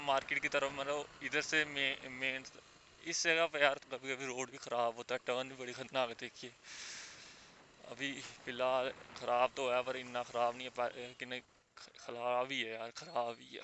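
A young man in his twenties chatting casually and excitedly while riding a scooter, recorded outdoors on a clip-on mic. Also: medium pitch. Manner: chatty, excited, repeats "bhai" and "yaar". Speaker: motovlogger. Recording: wind and traffic noise, dull lo-fi sound.

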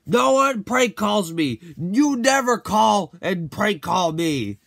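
A menacing villain from a homemade toy story, acted out by a man. He shouts slow, drawn-out threats in a high, gravelly voice. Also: lively intonation, exaggerated voice. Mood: furious. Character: menacing, shouting male master prank-call villain.

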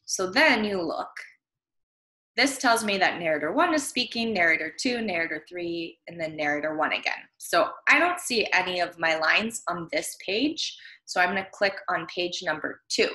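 Bright-voiced young American woman teaching. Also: fairly low pitch, animated, moderate pace. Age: young adult. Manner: simple, step-by-step explaining. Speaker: primary school reading teacher. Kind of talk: instructional screen-share lesson for young children. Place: small room at home. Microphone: computer webcam, video recording. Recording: roomy home computer microphone sound.

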